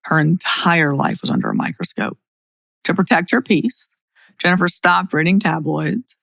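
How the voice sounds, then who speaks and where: A woman's voice: on the low side, normal speed, gravelly, fairly bright tone, no echo, poor audio. A middle-aged woman speaking as a podcast host and attorney, in a blunt, plain-spoken way. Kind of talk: scripted narration and opinionated podcast talk.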